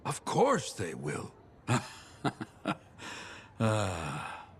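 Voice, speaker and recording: Wistful game storyteller, slow and really lively pitch, courteous, reflective, some noise behind. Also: male voice, full character voice. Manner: expressive, reflective, measured. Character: wistful male storyteller, courteous, gently philosophical, grieving. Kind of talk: video game dialogue acting. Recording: clear ambient noise behind the voice.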